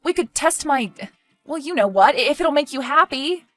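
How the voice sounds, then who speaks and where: Woman as defensive, exasperated woman, fairly high-pitched. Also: expressive, normal speed, fairly bright tone, soft background music. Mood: pleading, exasperated. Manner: defensive, indignant. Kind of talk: dramatic dialogue acting.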